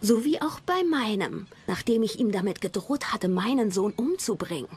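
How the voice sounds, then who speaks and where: An actress playing a jealous, venomous royal mistress in a historical docudrama, haughty and scornful. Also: female voice, mid-range voice, animated, moderate pace, darkish tone, husky. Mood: haughty, biting. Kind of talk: dubbed historical docudrama dialogue.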